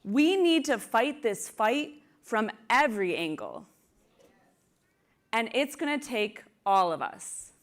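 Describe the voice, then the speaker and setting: A woman's voice: fairly high pitch, very animated, takes it slowly. A woman hosts a live convention in a ballroom, hyping the crowd and reading out announcements in an upbeat way. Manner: upbeat, hypes the crowd, laughs easily. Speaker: convention organizer and host. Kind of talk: live event hosting and announcements. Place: large ballroom.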